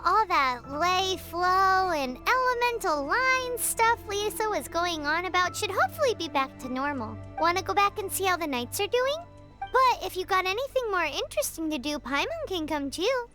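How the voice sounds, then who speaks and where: A woman voicing a tiny, childlike fairy companion in a video game, chirpy and curious with a squeaky voice. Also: very animated, normal speed, bright, forceful tone, full character voice. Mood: chirpy, chatty. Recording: game audio under clear music.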